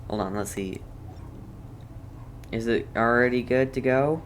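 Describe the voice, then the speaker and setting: A game streamer chats casually through a playthrough. The delivery is slow and hesitant, as if thinking aloud, with faint background noise. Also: medium pitch.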